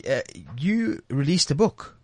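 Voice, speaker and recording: A man's voice: a little high, animated, a little slow, dry recording. Male host, very dry sound. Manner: informal, stop-start phrasing. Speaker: radio host. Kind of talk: casual chatty interview. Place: radio studio.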